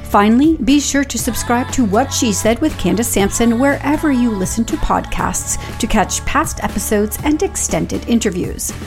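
A woman hosting a podcast, asking measured, friendly questions and reading the outro. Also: a little high, expressive, soft background music. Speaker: podcast host. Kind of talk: interview hosting.

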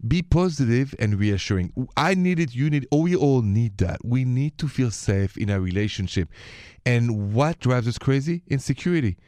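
A man's voice: mid-range voice, animated, normal speed, darkish tone, no echo, clean studio sound. A man from Paris with a French accent, hosting a call-in radio show and giving relationship advice in a conversational, direct way. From Paris, France.

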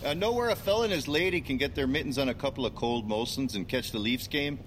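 A man's voice: mid-range voice, fast talker, a little hiss or hum, natural voice. Guy doing a folksy canadian hubby, hockey-mad, super chummy, audio comedy. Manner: folksy, chummy, over-polite. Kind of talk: comedy audio drama acting.

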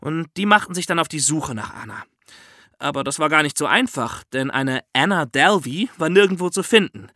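A man's voice: on the high side, animated, moderate pace, pushed and bright, no echo, clean studio sound. A man with a German accent telling true-crime stories conversationally as a podcast host, with a wry, ironic touch.

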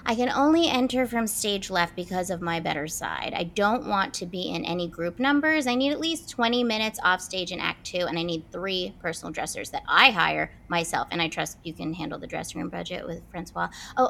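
A woman's voice: lowish voice, moderate pace, gravelly, a bit bright. A woman playing a demanding, self-absorbed diva actress, imperious and fussy, in a comedic audio drama. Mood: entitled, demanding.

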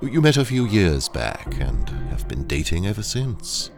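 Deep, gravelly, sinister corporate trainer. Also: male voice, wildly swooping pitch, over music, heavily acted. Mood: coaxing, falsely cheerful. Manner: slow, deliberate, coaxing. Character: ruthless, sinister male corporate training engineer, smooth and controlling. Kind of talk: audio drama acting.

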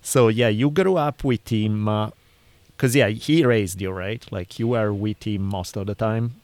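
A man's voice: on the low side, unhurried, darkish tone, no echo. A man with an Italian accent hosting a casual interview, rambling and laughing often. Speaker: podcast host.

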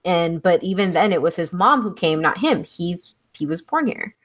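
A woman's voice: fairly low pitch, expressive, normal speed. A woman from Texas in casual conversation, earnest and thoughtful, often restarting her sentences with "like". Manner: earnest, frequent "like" fillers and restarts.

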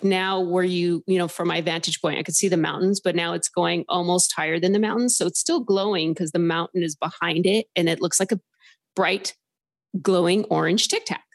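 A woman's voice: fairly low pitch, moderate pace. Chatty woman telling a rambling story. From Southern California. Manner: animated, rambling, heavy use of filler words. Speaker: caller sharing a paranormal story. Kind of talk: casual storytelling on a phone-in podcast.

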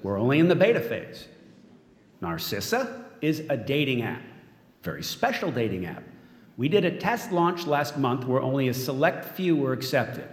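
A man's voice: mid-range voice, sing-song, normal speed, lightly acted. An actor playing a smug, controlling tech mogul in an audio drama, giving a polished, self-satisfied speech as he unveils his android. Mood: proud, boastful. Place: large event room as staged, crowd behind. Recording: echoey hall with crowd behind.

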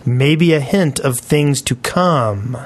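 A man's voice: mid-range voice, animated, takes it slowly. A man co-hosting a podcast, casually reading listener email and chipping in with remarks. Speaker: podcast co-host.

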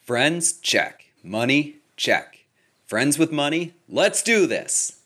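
Playful bright-toned man, slow lively delivery. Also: fairly high pitch. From Vermont. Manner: playful, drawn-out announcer-style delivery. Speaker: listener sending a recorded intro.

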